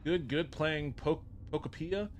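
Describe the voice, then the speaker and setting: A man's voice: on the high side, measured pace, slight background noise. A man raised in Japan, live-streaming a game and chatting casually with his viewers. He is upbeat, thanks people by name and swears casually. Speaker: livestreamer.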